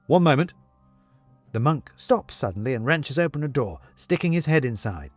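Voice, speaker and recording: A man narrating an audiobook story in a deliberate, expressive, literary delivery. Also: mid-range voice, soft background music.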